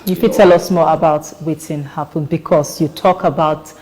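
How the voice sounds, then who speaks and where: Woman, Nigerian accent, deep for a female voice, news interviewer, questioning, long reverb. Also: fairly even intonation, normal speed, darkish tone, slight background noise. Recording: echoey room with long reverb.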